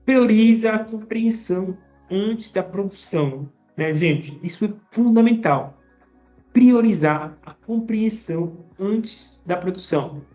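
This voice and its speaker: A language teacher talking casually to viewers, often tagging sentences with a quick question and restarting phrases. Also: medium pitch, dark tone, soft background music. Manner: conversational, frequent tag questions and restarts. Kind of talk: casual teaching monologue to camera.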